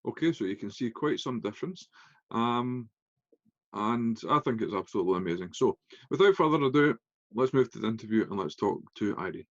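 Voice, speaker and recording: A man's voice: fairly low pitch, lively intonation, no echo. Scottish man hosting, dry dull sound. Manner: halting, with many fillers and restarts. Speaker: interview host. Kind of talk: casual interview chat.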